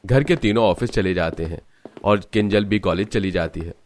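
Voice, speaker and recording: A man's voice: deep voice, moderate pace, a little hiss or hum. A man narrating a scripted cartoon family story.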